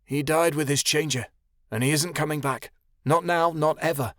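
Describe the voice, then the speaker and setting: A man voicing a grieving teenage son who shouts bitterly at his father. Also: a little high, fairly even intonation, normal speed, dry recording, studio quality, pitched above the performer's own voice, lightly acted.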